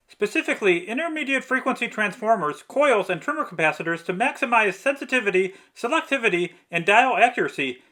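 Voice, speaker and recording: A man's voice: high voice, pushed and bright. A man narrating a scripted step-by-step restoration tutorial. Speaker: narrator, vintage radio restorer.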